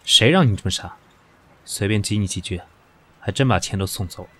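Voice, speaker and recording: A man's voice: lowish voice, brisk, slightly rough, no room sound. An actor playing a composed, sardonic, stern professional man in an audio drama, dry and commanding. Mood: mocking. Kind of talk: Chinese audio drama acting.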